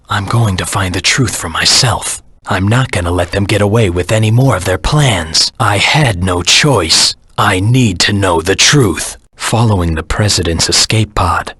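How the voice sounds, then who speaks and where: A male voice actor playing a brooding, determined hedgehog anti-hero in a video game, with a gravelly rasp and a grim, deliberate delivery. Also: sits low, fairly bright tone, no echo, exaggerated voice. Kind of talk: scripted game dialogue and story narration.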